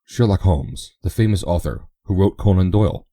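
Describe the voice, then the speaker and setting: A man's voice: sits low, lively intonation, normal speed, dark tone, no room sound, clean studio sound, voice pushed up. A man voicing a teasing young brother who jokes in mock-dramatic banter.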